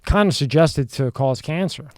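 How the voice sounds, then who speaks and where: A man's voice: fairly high pitch, normal speed, no echo, clean studio sound. A man hosting an interview, asking curious, conversational questions. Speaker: interview host.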